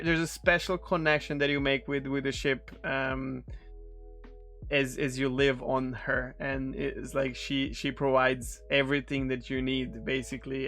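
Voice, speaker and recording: A man's voice: mid-range voice, a bit bright. A man in his thirties with a Serbian accent, a non-native English speaker from Serbia, casually rambling with friendly ums and restarts. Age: 30s. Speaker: streamer reacting to a video. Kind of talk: casual reaction commentary.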